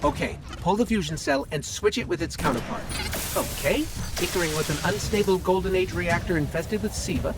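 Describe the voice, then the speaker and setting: A wry lil robot buddy for a game, kinda nervous, voice on the high side, really up and down. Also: male voice, animated. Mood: wry, focused. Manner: wry, quick-witted, anxious. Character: small, quippy, nervous robot companion, male. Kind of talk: dramatic video game dialogue. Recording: loud music under the voice.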